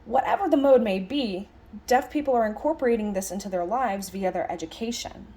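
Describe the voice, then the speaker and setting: A woman voicing a lecture as an interpreter, conversational, speaking in halting phrases with stress on key words. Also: mid-range voice, warm tone. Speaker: sign language interpreter voicing a signed lecture.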